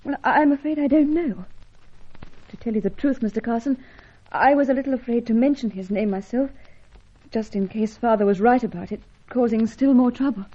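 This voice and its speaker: An actress playing a gentle, helpless young woman in an old-time radio adventure serial, earnest, tremulous and polite. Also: fairly high pitch, fairly quick, breathy edge. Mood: uneasy, forlorn. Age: young adult. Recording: dull, lo-fi radio serial recording.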